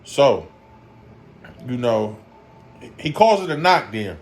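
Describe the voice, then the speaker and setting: A man's voice: medium pitch, sing-song, takes it slowly, a little hiss or hum. A man in his forties talking casually in a boxing vlog, loose and rambling, leaning on fillers and repeating his points for emphasis. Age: 40s. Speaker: boxing commentary vlogger.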